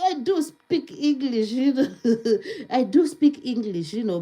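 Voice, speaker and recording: A woman hosting a live stream in a slow, unhurried chat. Her voice is mellow and a little high, and she repeats words and laughs easily as she greets viewers one by one. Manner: chatty, repeats words, laughs often, reads comments aloud.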